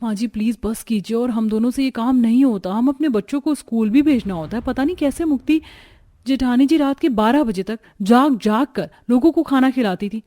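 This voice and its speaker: A woman as fed-up, high-voiced sister-in-law. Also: brisk, dark tone, lightly acted. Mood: pleading, fed up. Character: pleading, worn-out younger sister-in-law. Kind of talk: Hindi cartoon story acting.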